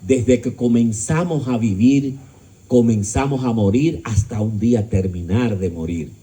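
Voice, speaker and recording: A middle-aged man lecturing a live audience on philosophy. His voice is very dark and mellow, with middling pitch. He leans into words with shifting pitch and loudness, tosses out rhetorical questions and drops in a joke now and then. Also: unhurried. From Dominican Republic. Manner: emphatic, shifting pitch and volume. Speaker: speaker at a discussion gathering.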